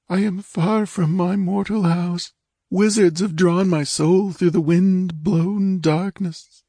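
A man's voice: creaky, a little mellow, no echo, studio quality, full character voice. A man reading an audiobook, voicing a dying young king whose soul is being dragged away by wizards, pleading and commanding. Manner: desperate, pleading, high-pitched strain.

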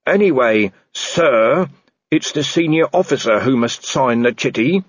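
A man's voice: medium pitch, animated, unhurried, slightly rough, no room sound, highs cut off, voice pushed up. A man voicing an earnest, dogged young male constable who stays politely insistent with his superior. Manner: politely insistent, ends lines with sir.

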